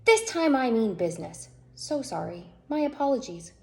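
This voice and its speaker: A woman's voice: fairly high pitch, sing-song, moderate pace, gravelly, voice pushed up. A woman voicing a fussy, solitary male duck with prim, formal speech for a storybook read-aloud. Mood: indignant. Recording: echoey room with faint noise.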